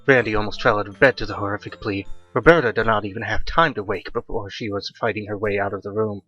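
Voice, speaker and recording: A man's voice: lowish voice, fairly bright tone, highs cut off. A man narrating a horror audiobook in a dramatic, scripted style. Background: faint music.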